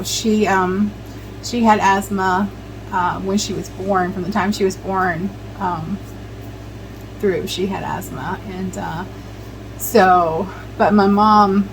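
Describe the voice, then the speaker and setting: A woman's voice: medium pitch, a little hiss or hum, rough recording. Chatty woman rambling with lots of ums. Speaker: vlogger. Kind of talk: casual chat while preserving herbs.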